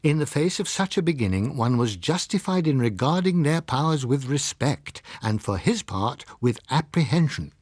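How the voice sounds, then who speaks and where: A man's voice: mid-range voice, no echo, clean studio sound. A British man voicing an earnest, bitter dissenting scientist in an audiobook, speaking in a formal, grave and insistent way. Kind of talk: audiobook character reading.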